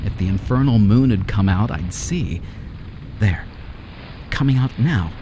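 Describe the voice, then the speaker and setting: An actor playing a cold, selfish, middle-aged scientist husband in an impatient, condescending manner in an old-time radio horror drama. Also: male voice, on the low side, unhurried, background noise, natural voice. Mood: tense, puzzled.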